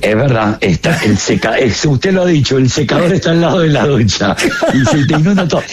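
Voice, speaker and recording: A man's voice: medium pitch, animated, fairly quick, noisy background. A man with an Argentine accent chatting casually and jokingly as a show correspondent.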